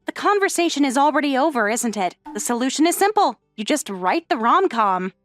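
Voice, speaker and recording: A sincere, sheltered high school girl heroine played by a woman with a high, lilting voice. She is composed and polite, in an anime comic dub style, recorded close and dry. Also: sing-song, a little fast, no echo, faint music behind. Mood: cool, matter-of-fact.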